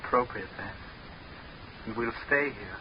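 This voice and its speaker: An actor playing a coldly vengeful, fatalistic young man, deliberate and mocking, in a 1940s radio horror style. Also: fairly low pitch, close to an everyday voice. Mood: ominously calm. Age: young adult. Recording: muffled, noisy 1940s radio recording.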